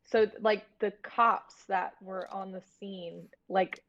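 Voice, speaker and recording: A woman's voice: medium pitch, steady tone, slow pace, fairly bright tone, highs cut off. A woman talking casually and informally as a guest in a conversation over a remote call. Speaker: podcast guest, horror producer. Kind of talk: casual chat.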